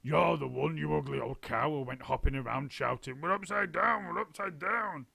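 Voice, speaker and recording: A man voicing a nasty, blustering, bad-tempered old man who shouts a lot. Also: a little high, moderate pace, creaky, breathy edge, no echo, pitched above the performer's own voice, full character voice. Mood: furious.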